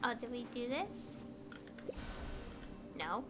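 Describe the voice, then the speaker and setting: A woman's voice: on the high side, very animated, slow pace. A woman doing casual live game commentary, rambling and thinking aloud as she plays. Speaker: game streamer. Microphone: headset. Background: game music.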